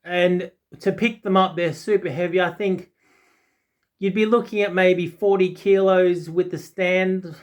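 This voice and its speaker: A man's voice: high-pitched, steady tone, a little slow. A man in his forties giving a casual, unscripted product talk, rambling and often hesitating or correcting himself. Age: 40s. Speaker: audiophile video host.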